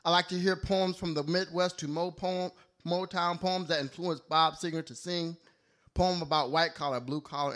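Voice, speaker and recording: A man performs a slam poem live on stage. His voice sits a bit high. He rolls through rhyming lists with a playful, bouncing rhythm. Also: pushed and bright. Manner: playful, rhyming, list-driven delivery. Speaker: slam poet. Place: live poetry slam venue.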